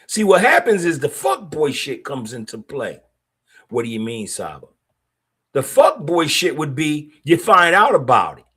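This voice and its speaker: A man's voice: on the high side, huge pitch swings, unhurried, bright, forceful tone, breathy edge. A middle-aged man, hosting a podcast and speaking bluntly in a streetwise, opinionated way. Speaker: podcast host. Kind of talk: casual monologue podcast.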